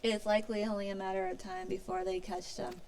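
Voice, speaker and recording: A woman's voice: medium pitch, big pitch swings, quick pace, darkish tone, a little hiss or hum. A woman streamer reading fantasy game story dialogue aloud in a theatrical, formal delivery. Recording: music playing behind the voice.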